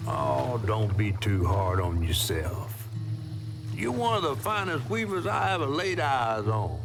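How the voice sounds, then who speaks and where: An actor playing a folksy, cautious swamp companion in a video game, with a US Southern accent and colloquial, drawling talk. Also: male voice, mid-range voice, sing-song, unhurried, gravelly, over music. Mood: fond, gentle. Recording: ambient noise behind the voice.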